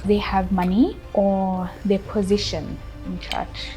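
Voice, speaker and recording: A woman's voice: mid-range voice, little pitch movement, takes it slowly, mellow, low-quality recording. A woman in her twenties speaks with a South African accent. She gives a casual personal account in an interview, with faint music playing behind her voice. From Durban, South Africa. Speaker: interviewee telling her relationship story.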